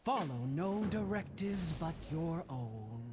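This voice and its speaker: A woman's voice: low-pitched, sing-song, takes it slowly, noisy background, full character voice. A woman voicing a stoic, machine-like stone being in a fantasy game, speaking in stilted formal phrases.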